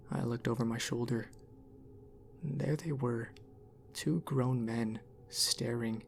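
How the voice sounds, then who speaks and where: A man reading a scripted horror story in an ASMR style, with measured, deliberate delivery. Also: medium pitch, vocal fry, a little hiss or hum.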